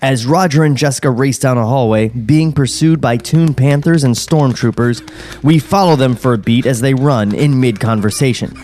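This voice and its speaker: Man narrating a screenplay, mid-pitched voice. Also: moderate pace, a little mellow. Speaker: podcast script narrator. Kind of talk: reading screenplay directions, casual commentary. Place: podcast studio.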